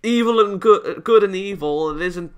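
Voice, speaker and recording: A man's voice: sits high, moderate pace, clear, resonant tone. A man hosting a visual novel let's play, reading aloud and breaking into rambling, emphatic, opinionated commentary. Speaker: gaming video host.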